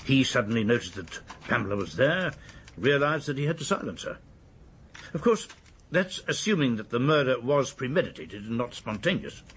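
An actor with an English accent playing a senior police colonel in a radio drama, pondering and questioning with authority in a very husky voice. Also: male voice, medium pitch, very animated, normal speed, a bit bright, slight background noise. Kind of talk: British radio drama acting.

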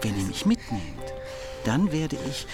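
Wily, animated captive Greek monk. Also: male voice, a little high, a little slow, music in the background. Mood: pleading, scheming. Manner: theatrical, pompous oaths, slippery argument. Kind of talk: German radio drama acting.

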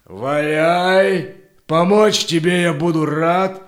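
An actor playing a generous, grateful trading agent, speaking measured, declamatory verse in an audio play. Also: male voice, fairly high pitch, fairly even intonation. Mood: hearty, grateful. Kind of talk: rhymed audio play acting.

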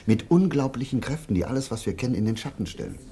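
An actor playing an earnest, driven scientific investigator in a German radio play, with an intense and deliberate dramatic delivery. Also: male voice, mid-range voice, animated, mellow, natural voice. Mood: grave, awed. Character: earnest, driven male scientific investigator uncovering a dark secret.

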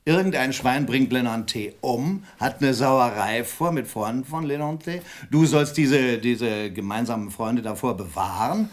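A man's voice: mid-range voice, measured pace, few pauses, close to an everyday voice. A man acting in a German radio crime play as a jovial, blustering factory owner of about fifty, colloquial and prone to chuckling. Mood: agitated. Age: middle-aged.

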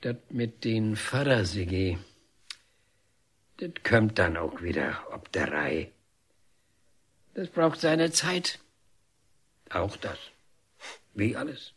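Husky, slow, patient painter. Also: male voice, on the low side, very animated, a bit bright, full character voice. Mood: patient, reassuring. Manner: measured, gruff, reassuring. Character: calm, patient painter, fatherly friend to a boy. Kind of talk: radio drama acting.

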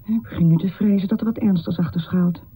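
An anxious middle-aged mother in a period radio drama. Her voice is lowish and very dark, with a husky edge. She is wordy and formally polite, fussing as she talks. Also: quick pace, low-quality recording. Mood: anxious, pleading. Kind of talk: radio play acting.

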